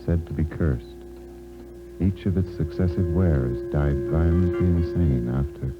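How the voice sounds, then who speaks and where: A solemn, very deep male voice intones a cursed family legend slowly and gravely. It comes through a thin, phone-like old radio sound. Loud music plays under it.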